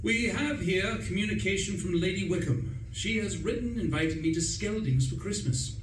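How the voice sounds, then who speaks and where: A man acting a flustered, breezy young upper-class English gentleman who narrates a radio play in pompous, chatty old-fashioned slang. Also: high voice, normal speed, natural voice. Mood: cheerful, blustering. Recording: roomy, distant stage recording with faint noise.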